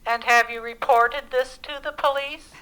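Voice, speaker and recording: A woman's voice: high voice, steady tone, moderate pace, pushed and bright, smooth, poor audio. An actress playing a polite, officious telephone operator in a radio suspense play, formal and procedural in her delivery. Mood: polite, unbending. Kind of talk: old-time radio suspense play acting. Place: live theatre stage.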